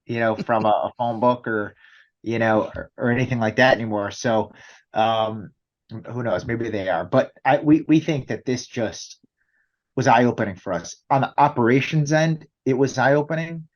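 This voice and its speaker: A man's voice: medium pitch, normal speed, lo-fi sound. A man explaining things conversationally as a business interview guest, often checking in with rhetorical questions. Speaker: company executive guest.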